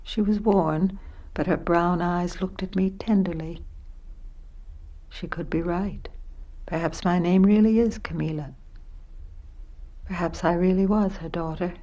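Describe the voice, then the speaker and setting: A woman's voice: fairly low pitch, steady tone, a little slow, pauses often, mellow, dull audio, lightly acted. An actress playing an introspective, wondering middle-aged woman who narrates her own story in a radio play, reflective and self-questioning. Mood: dazed, pensive.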